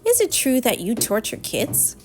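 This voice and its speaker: A woman puts on a cheeky little sister from a picture book. The girl is nosy and blunt, teasing with a very animated pitch, and music plays softly behind. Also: moderate pace.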